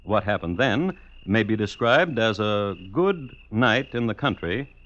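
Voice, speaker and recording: A man's voice: fairly low pitch, animated, a little slow, a bit bright. A man playing a droll, mock-solemn narrator of macabre tales in an old radio drama. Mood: wry. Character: wry male storyteller of macabre tales. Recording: muffled vintage radio recording. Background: music.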